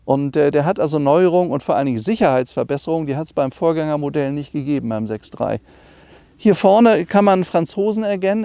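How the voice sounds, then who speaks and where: A middle-aged man presents a classic car in a chatty, explanatory way. His voice is mellow with a heavy creak, and the sound is quite dry. He comes across as an enthusiastic dealer showing viewers around. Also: a little high, dry recording. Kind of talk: informal car walkaround presentation.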